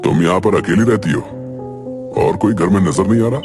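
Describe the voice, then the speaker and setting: A man's voice: low voice, moderate pace, over music, close to an everyday voice. A man playing a brash, smitten young college student in a cartoon story. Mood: smitten, insistent.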